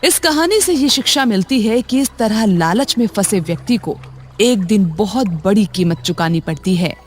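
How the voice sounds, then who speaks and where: A woman narrates animated moral stories for children in a calm, even voice. Her pitch is middle-range, and gentle music plays underneath. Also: moderate pace. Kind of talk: scripted children's story narration.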